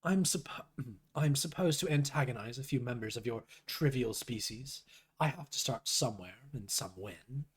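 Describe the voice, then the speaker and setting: A man's voice: medium pitch, animated, normal speed, husky. A haughty alien troll woman from a webcomic, acted out by a man. She is weary, disdainful and stilted, talking down to everyone as if it were a chore. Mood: bored, disdainful.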